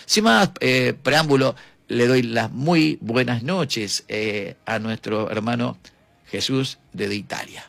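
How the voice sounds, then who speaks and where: A man giving an informal devotional sermon as host, his voice husky and gravelly. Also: medium pitch, slow pace, a bit bright, no echo. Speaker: preacher and host introducing speakers.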